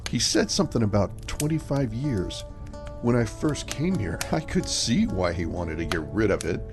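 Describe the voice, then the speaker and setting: A man playing a relaxed, chatty middle-aged cabin owner in an amateur radio drama. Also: fairly low pitch, normal speed. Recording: music score behind.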